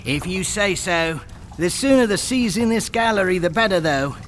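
Male actor as nervy, matey labourer, fairly high voice, clear watery noise behind. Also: lively intonation, normal speed, fairly bright tone. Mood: uneasy. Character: plain-spoken, uneasy male labourer, stonemason by trade. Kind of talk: radio thriller acting.

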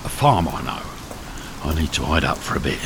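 A man's voice: extremely low pitch, big pitch swings, a little fast, full character voice. A man playing a hunted, hardened smuggler in a radio thriller, terse, commanding and evasive. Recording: noisy radio drama with rain sounds.

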